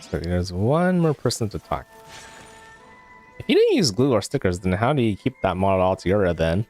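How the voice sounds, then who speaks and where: A man's voice: deep voice, huge pitch swings, moderate pace, darkish tone, soft background music. A man streaming a video game with casual, musing commentary, reacting aloud as he plays. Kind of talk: casual let's play commentary.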